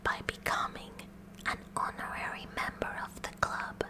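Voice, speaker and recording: A woman playing a kooky, eager teenage science club president in a breathy whispered ASMR roleplay, speaking in a deliberate, mock-official way. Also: close to an everyday voice. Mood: officious, eager. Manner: slow, deliberate, mock-official with awkward stammers.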